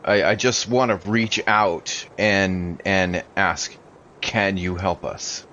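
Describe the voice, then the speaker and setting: A deep-voiced man plays a tabletop roleplaying game. He improvises slowly and thoughtfully, with halting phrases, on a slightly muffled recording with faint noise. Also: fairly even intonation, bright, forceful tone. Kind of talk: improvised in-character game play.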